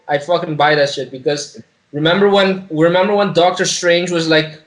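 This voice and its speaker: A man co-hosting a podcast, chatting casually about a film in a sweary, slangy way, halting and repeating phrases. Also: fairly high pitch, low-quality recording. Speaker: podcast co-host.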